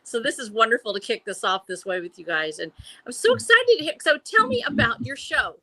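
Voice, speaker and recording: A woman's voice: on the high side, big pitch swings, a little fast, pushed and bright, no room sound, rough recording. A woman in her early sixties chatting casually and effusively as a guest on a panel show. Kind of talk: casual chat.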